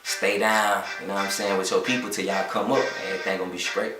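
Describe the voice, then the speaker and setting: A man's voice: sits low, little pitch movement, fast. A young Southern man from Atlanta, talking in a laid-back drawl and often saying "you know what I'm saying". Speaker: rapper. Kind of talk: freestyle rap verse, then casual interview talk. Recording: echoey room with loud music behind.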